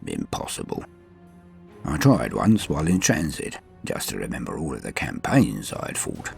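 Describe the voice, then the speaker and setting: A man voicing a centuries-old space-marine sergeant, stoic, proud and dying, in a solemn, halting audio drama monologue with a gravelly voice. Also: huge pitch swings, faint music behind, exaggerated voice. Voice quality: very deep gravelly voice. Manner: solemn, halting, dramatic delivery with swings between murmur and force. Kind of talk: scripted first-person audio drama monologue.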